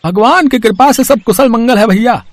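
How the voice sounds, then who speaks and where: A man's voice: very high-pitched, moderate pace, no room sound. A man voicing a cheerful, food-loving village farmer in a storybook tale, hearty and friendly.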